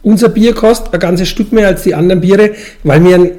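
A man's voice: high-pitched, fast talker, warm tone. A man in his forties with a Franconian accent, explaining his craft in casual, colloquial dialect as a brewmaster being interviewed. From Franconian Switzerland, Bavaria, Germany. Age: 40s. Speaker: brewmaster and brewery owner, interviewee.

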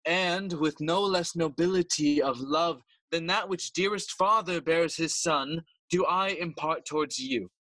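A stately, smooth-talking king of middle years in a Shakespearean radio play. His voice sits high for a man and rings bright and crisp with formal oratory, recorded dry and close. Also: a little slow, hardly any pauses, no room sound, poor audio. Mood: formally persuasive. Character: regal, smooth-talking middle-aged king, uncle and stepfather. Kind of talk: bilingual Shakespearean audio play acting.

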